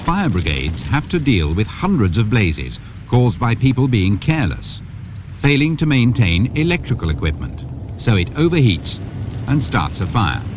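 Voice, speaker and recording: A British man in his fifties presenting a scripted instructional training film in a measured, instructive manner. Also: lowish voice. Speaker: presenter and narrator of a fire safety training film. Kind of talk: scripted instructional narration to viewer. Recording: muffled, noisy 1980s 16mm film soundtrack.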